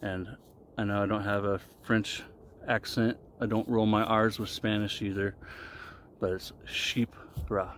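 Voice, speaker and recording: A low-pitched man talks casually to a camera as he explains things in a vlog. His phrasing is deliberate and halting. He is recorded outdoors in a wooded clearing, with some ambient noise around him. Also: level tone, a bit bright. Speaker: vlogger reviewing shaving products. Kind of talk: casual explanatory talk to camera while shaving.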